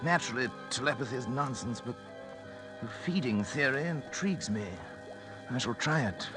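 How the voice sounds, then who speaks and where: An actor playing an intense, arrogant, obsessive scientist in a theatrical 1940s radio acting style. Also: male voice, mid-range voice, lively intonation, normal speed, close to an everyday voice. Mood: brooding, obsessed. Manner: theatrical, urgent, clipped dramatic delivery. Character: obsessive scientist man, feverish, intense, arrogant, narrating his journal. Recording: old radio recording with music behind.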